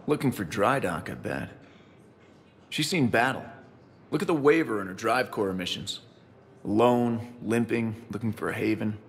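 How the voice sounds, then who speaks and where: A quiet, grieving pilot. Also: male voice, fairly low pitch, lively intonation, normal speed. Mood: reflective. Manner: quiet, reflective. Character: grieving male shuttle pilot, quiet and reflective. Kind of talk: video game voice acting.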